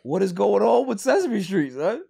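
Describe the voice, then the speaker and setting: A man's voice: sits high, big pitch swings, moderate pace, creaky, heavy background noise. A man hosting a casual podcast, bantering in slang and repeating phrases for emphasis. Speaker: podcast host.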